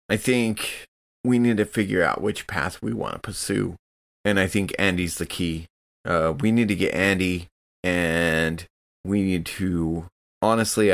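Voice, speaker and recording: A man playing a tabletop game, discussing choices casually in an earnest, deliberate way. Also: sits low.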